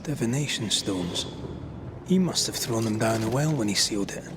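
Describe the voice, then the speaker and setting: An actor playing a sober, analytical ghost-hunting investigator in a video game, matter-of-fact in delivery. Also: male voice, on the low side, lively intonation, normal speed, background noise, natural voice. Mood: pensive, wary.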